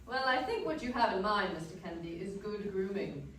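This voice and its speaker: A woman's voice: medium pitch, animated, fairly quick, fairly bright tone, close to an everyday voice. A woman playing a chatty, fashion-loving 1940s Hollywood radio reporter, delivering scripted sales patter on a live stage. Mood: chatty, enthusiastic. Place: live stage performance in a dance hall. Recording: very echoey hall, distant, faint noise.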